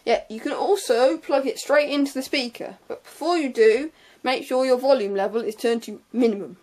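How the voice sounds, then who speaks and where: A woman's voice: sits high, normal speed, darkish tone. A young woman gives an informal, unscripted hands-on tutorial in a hesitant, offhand way, trailing off into asides. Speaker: sound tech tutorial presenter.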